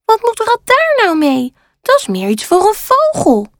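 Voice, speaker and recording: A woman's voice: very high-pitched, huge pitch swings, measured pace, dry recording, clean studio sound, voice pushed up, exaggerated voice. A woman voicing a kind, curious young male panda in a children's story, speaking earnestly. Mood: curious, critical.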